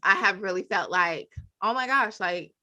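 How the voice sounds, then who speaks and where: A woman in her thirties co-hosting a podcast, telling stories in an expressive, animated way. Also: mid-range voice, normal speed. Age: 30s. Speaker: podcast co-host. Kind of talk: casual podcast chat.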